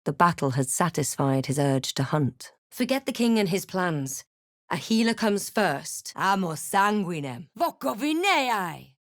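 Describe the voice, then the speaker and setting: Wary fantasy adventurer heroine, close and dry. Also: female voice, fairly low pitch, big pitch swings, a little slow, no room sound. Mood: dry, practical, then commanding incantation. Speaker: video game player character. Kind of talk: scripted game voice lines, narration and barks.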